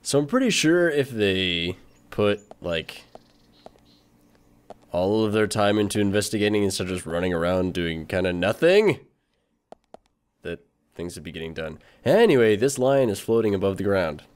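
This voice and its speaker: A male gaming host chatting casually through a let's play. His delivery is informal and playful, with pitch that swings very widely. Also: big pitch swings, normal speed, long pauses, clear, resonant tone. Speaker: host of a gaming video channel.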